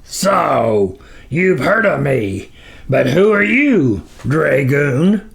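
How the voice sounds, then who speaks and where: A man voicing a huge, tyrannical demon lord with a booming, imperious, quick-tempered delivery for a storybook. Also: sing-song, vocal fry, exaggerated voice. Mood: haughty anger. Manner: slow, imperious drawn-out commands.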